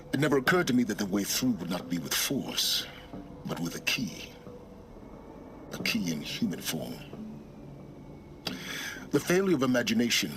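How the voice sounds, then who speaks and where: A calculating middle-aged male scholar in a cinematic video game. His voice sits low, with theatrical, formal phrasing that stays aloof and cryptic. Ambient noise is clearly audible behind him. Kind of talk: dramatic video game acting.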